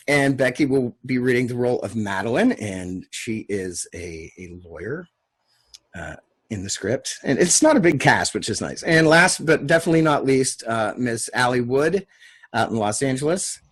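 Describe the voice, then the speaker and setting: A man with a husky, medium-pitched voice hosts a screenplay table read. He speaks casually and narrates the action plainly. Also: animated, moderate pace. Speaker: host and stage-direction narrator.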